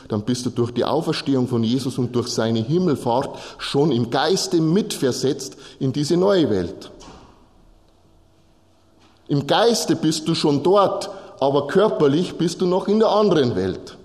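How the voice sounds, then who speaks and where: Male preacher, slightly high-pitched voice, explanatory sermon delivery with direct address, long hall reverb. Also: darkish tone. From Bavaria, Germany. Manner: explanatory, direct address with short repeated phrases. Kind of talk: sermon, teaching from Bible texts to a congregation.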